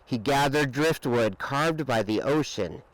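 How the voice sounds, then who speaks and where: A man reading a children's picture book aloud with expressive, dramatic emphasis and drawn-out words. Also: medium pitch, no room sound, low-quality recording. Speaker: storybook reader.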